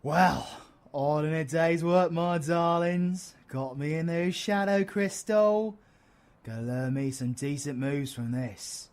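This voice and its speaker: A man's voice: fairly high pitch, takes it slowly. Man playing a cheeky, theatrical British supervillain. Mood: playful, triumphant. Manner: theatrical, slangy, swinging from soft to shouting. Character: cheeky, flamboyant young male supervillain, affectionate and chaotic. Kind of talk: audio roleplay acting.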